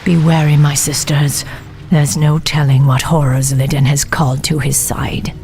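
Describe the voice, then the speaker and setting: A woman's voice: low voice, level tone, normal speed, a little mellow. A night elf warden in a fantasy game. She is stern, commanding and vengeful, speaking with grave resolve over music. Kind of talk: dramatic video game cinematic acting.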